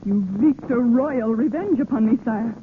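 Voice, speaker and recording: A woman's voice: fairly high pitch, normal speed, natural voice. An actress playing a proud, wounded young noblewoman, formal and emotional in an old radio drama style. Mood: bitter, wounded. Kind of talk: 1940s radio acting. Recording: tinny, hissy old radio recording.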